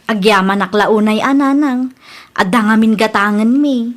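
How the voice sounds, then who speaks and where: A woman playing a generous, respectful wife and dutiful daughter in an audio drama, speaking gently and politely. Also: a little high, normal speed, lightly acted. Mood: apologetic.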